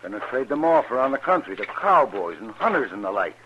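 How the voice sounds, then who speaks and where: An actor playing a nervous, evasive gunrunner with a folksy, ungrammatical American way of talking in a 1950s radio western. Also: male voice, medium pitch, sing-song, brisk, natural voice. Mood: evasive, whining. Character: nervous, shifty frontier gunrunner, whining and evasive. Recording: dull, noisy old radio recording.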